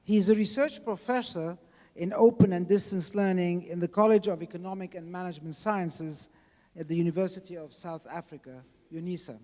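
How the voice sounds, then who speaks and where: A man hosting a conference, introducing the keynote speaker in a halting way with light laughs. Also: sits high, fairly even intonation, mellow. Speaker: event host. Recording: lecture hall reverb.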